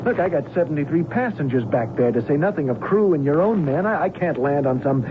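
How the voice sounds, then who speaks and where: An actor playing a tense, defiant airline captain held by hijackers, blunt and challenging, in old-time radio drama style. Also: male voice, fairly high pitch, animated, brisk, close to an everyday voice. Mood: anxious protest. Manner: blunt, challenging, plain-spoken. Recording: tinny, very noisy old radio recording.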